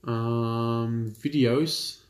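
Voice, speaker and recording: A man's voice: mid-range voice, fairly even intonation, extremely slow, roomy sound. A man who hosts a gaming channel, reading a visual novel's text aloud and chatting between passages, casual and stumbling, with the odd offhand swear.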